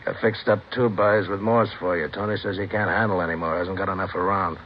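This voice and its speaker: An actor playing a bossy, quarrelsome drug gangster, sneering and slangy, in a 1950s radio crime drama. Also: male voice, deep voice, steady tone, a little fast, lightly acted. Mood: guarded, curt. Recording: muffled, noisy old radio recording.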